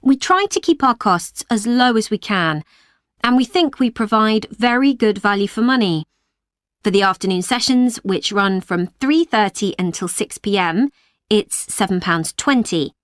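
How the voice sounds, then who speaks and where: A woman speaking as a childcare manager, giving a polite, clear talk to parents. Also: mid-range voice, lively intonation, moderate pace, no echo, clean studio sound. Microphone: studio microphone, dry.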